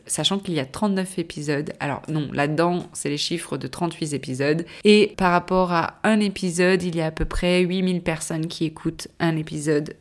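A woman hosting her own podcast, talking casually on her own and wandering off topic with lots of fillers and self-corrections while she has a cold. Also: lowish voice. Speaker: podcast host. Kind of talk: casual solo podcast talk.

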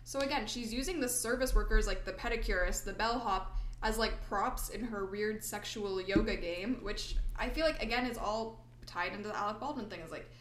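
A woman's voice: medium pitch, moderate pace, few pauses, a bit bright, slight background noise. A young woman co-hosting a podcast, opinionated and outspoken, in casual chat full of "like". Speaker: podcast co-host. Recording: roomy echo.

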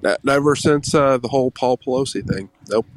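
A man voicing a gruff, distrustful middle-aged man who talks in flat, clipped, dismissive denials. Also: medium pitch, a little fast, dry recording, natural voice. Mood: scoffing.

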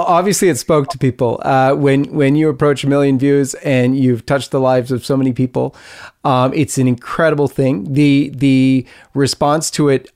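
A man hosting an interview show, speaking in a halting, deliberate and sympathetic way. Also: mid-range voice, a little mellow. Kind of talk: conversational interview.

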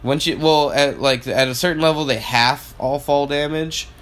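A man's voice: on the high side, normal speed, a bit bright. A young man co-hosting a podcast, telling stories in a casual, rambling, sweary way. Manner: profane, filler-heavy storytelling. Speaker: podcast co-host. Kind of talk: casual chat.

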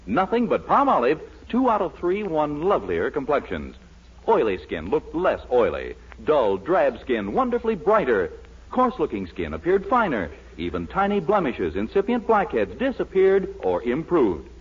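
A man's voice: fairly high pitch, wildly swooping pitch, moderate pace, slightly rough. A man announcing in a scripted 1940s radio commercial style. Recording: dull old radio recording.

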